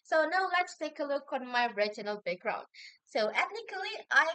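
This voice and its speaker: A young woman, a university student explaining answers in a measured way with occasional hesitant restarts. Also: high voice, sing-song, pushed and bright, no room sound. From Indonesia. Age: young adult. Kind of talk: answering assignment questions on video.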